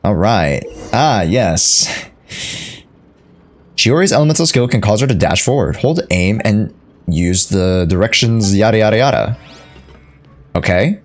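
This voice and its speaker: Low-voiced, playful young man streaming a game. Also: expressive, unhurried, pauses often. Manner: playful, reactive, slangy, talks to himself. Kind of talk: casual live gameplay commentary. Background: faint game music.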